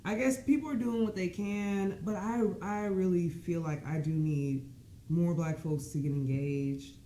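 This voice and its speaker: A woman's voice: on the low side, fairly even intonation, unhurried, dark tone. A woman in her thirties, a podcast guest, explaining earnestly and at length in casual conversation. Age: 30s. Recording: echoey room with faint noise.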